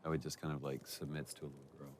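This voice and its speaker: A man's voice: a bass voice, flat delivery, very fast. A man chatting in casual commentary, conversational and appreciative in manner, with noticeable background noise. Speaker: commentary participant.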